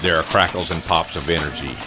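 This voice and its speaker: A man's voice: sits low, fairly even intonation, fast, heavy background noise. A man giving dramatic, scripted narration as the narrator of an old-style superhero radio drama.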